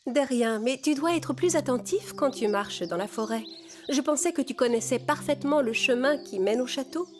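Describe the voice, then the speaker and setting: Cartoon heroine, acted by a woman: young, kind, friendly, high voice. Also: moderate pace, natural voice. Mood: gently chiding. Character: kind, lively young fairy-tale heroine.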